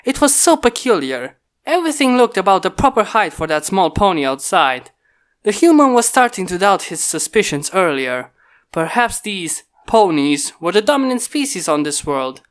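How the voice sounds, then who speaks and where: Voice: medium pitch, big pitch swings, normal speed. A narrator reading a scripted fan fiction story aloud.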